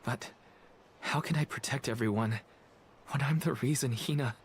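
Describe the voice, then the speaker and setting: A man voicing an earnest young guardian hero in a video game, halting and weighed down by guilt. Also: mid-range voice, slight background noise, lightly acted. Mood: anguished, guilty. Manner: halting, heavy-hearted. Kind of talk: video game cutscene acting.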